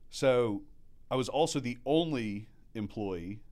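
A man's voice: mid-range voice, slow pace. A man in his thirties, born in Mexico to American parents, chatting casually and acting out quotes as he tells stories about running restaurants. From born in Mexico, raised by American parents. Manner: animated storyteller acting out quotes. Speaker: guest, restaurant manager. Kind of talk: casual storytelling chat.

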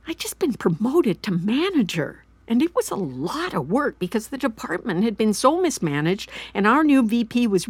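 An actress playing an anxious, regretful middle-aged mother in an audio drama, halting and justifying herself as she confesses. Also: a little high, slightly rough, dry recording. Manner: halting, self-justifying, repeats and trails off. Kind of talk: naturalistic audio drama acting.

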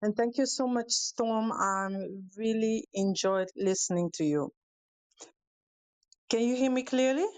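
A woman with a Dutch accent, Dutch her first language, calling in as a guest and speaking haltingly with many fillers and restarts. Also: a little high, darkish tone, dry recording. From Europe. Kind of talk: casual talk on a live audio show. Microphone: calling in remotely.

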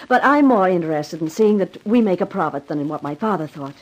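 A woman's voice: on the low side, lively intonation, fairly quick, low-quality recording. An actress playing a composed, businesslike female boss in a 1950s radio detective drama.

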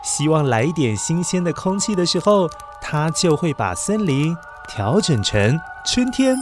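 A man narrating a children's story in a gentle, coaxing storyteller manner, addressing the young listener. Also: a little high, sing-song, a little slow. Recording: background music under narration.

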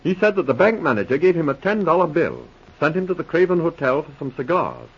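Earnest, animated police subordinate, husky. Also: male voice, fairly high pitch, fast, heavily acted. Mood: earnest, reporting. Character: earnest, puzzled police subordinate reciting case facts. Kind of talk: 1940s radio drama acting. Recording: muffled vintage radio recording.

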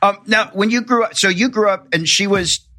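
American guy hosting a podcast interview, voice a bit on the high side. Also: normal speed, pushed and bright. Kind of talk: podcast introduction and interview chat.

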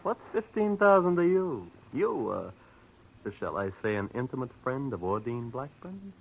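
An actor playing a mock-polite, taunting debt collector and thug in a 1940s-style radio detective drama. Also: male voice, mid-range voice, sing-song, gravelly, breathy edge, phone-quality sound, heavily acted. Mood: taunting menace. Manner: taunting, slyly polite, drawn-out insinuation.

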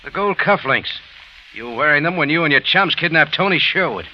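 Actor as a hard-boiled American sleuth, animated. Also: male voice, on the high side, brisk, a little hiss or hum, close to an everyday voice. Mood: pressing, tough. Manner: hard-boiled, wry, slangy. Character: tough, wry American private-eye hero narrating his case. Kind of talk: old-time radio drama narration and dialogue. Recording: lo-fi old radio recording with music.

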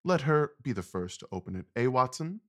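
An actor playing a confident, commanding gentleman detective, wry and precise, in an audio drama. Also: mid-range voice, big pitch swings, a little fast. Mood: commanding, brisk.

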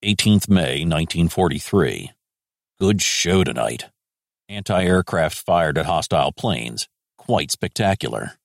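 A man's voice: deep voice, expressive, unhurried, gravelly, dry recording, clean studio sound, full character voice. A man playing an American major general of the Second World War, reading his war diary in clipped, terse phrases. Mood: satisfied, wry.

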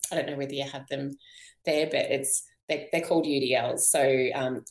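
Low, gravelly Australian woman with fading voice. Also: a little mellow, reverberant. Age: 50s. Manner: chatty, many ums and you knows, frequent light laughs. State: losing her voice. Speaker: guest. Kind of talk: candid personal story in a podcast interview.

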